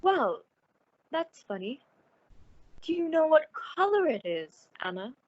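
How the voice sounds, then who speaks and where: A high, very lively voice from an actress plays a naive, nervous woman in radio suspense. She is placating and exclamatory, swinging widely in pitch. The sound is a little dull and lo-fi. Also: takes it slowly, no room sound, full character voice. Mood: placating, uneasy. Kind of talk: old-time radio suspense acting.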